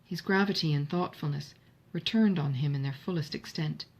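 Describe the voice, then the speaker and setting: A woman reads a classic novel aloud in measured, formal narration. Her voice sits somewhat low and has a husky edge. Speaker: audiobook narrator. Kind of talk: scripted literary narration.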